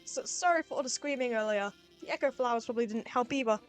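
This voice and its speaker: A woman's voice: on the high side, fast, faint music behind, lightly acted. A woman voicing a meek, nervous female lizard scientist who stammers.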